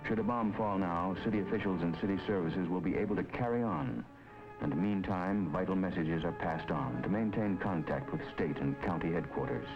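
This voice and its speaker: A man narrating a documentary in a measured, solemn scripted delivery. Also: deep voice, over music. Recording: dull lo-fi 1950s film soundtrack. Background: film score under the voice.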